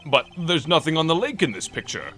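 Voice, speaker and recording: Man playing a pompous, animated old judge. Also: fairly high pitch. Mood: puzzled. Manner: deliberate, pompous, bemused. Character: pompous, easily puzzled elderly male courtroom judge. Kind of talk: fan-dub visual novel voice acting. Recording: voice over game music. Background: game music.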